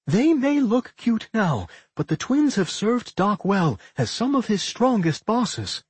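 A narrator as a high, fretful winged girl. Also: big pitch swings, takes it slowly, husky, no echo, heavily acted. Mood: cautioning. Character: protective, fretful young winged female creature.

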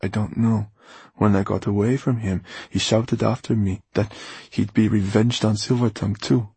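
A man's voice: lowish voice, flat delivery, moderate pace, creaky, a little mellow, breathy edge, no room sound, voice pushed up, full character voice. A man voicing an eager, touchy teenage boy with a defensive, hesitant manner in an audiobook. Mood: rueful, defensive. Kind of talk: audiobook character dialogue.

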